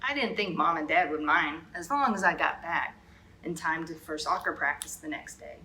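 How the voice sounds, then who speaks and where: A woman's voice: sits low, normal speed, sharp tone. A woman storyteller reading a picture book aloud expressively, slipping in brief asides to young listeners. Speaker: children's storyteller.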